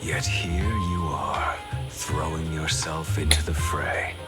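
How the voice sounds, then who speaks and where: A brooding game swordsman, cold and menacing. His voice is extremely deep and he delivers each phrase slowly. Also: loud music behind the voice. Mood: cold menace. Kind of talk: video game voice acting.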